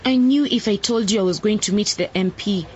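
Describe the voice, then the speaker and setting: Actress as a determined teen candidate, firm and confident, lo-fi radio drama. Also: female voice, medium pitch, a little fast, a little mellow, lightly acted. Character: determined young woman running for councillor. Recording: dull lo-fi radio recording, faint noise.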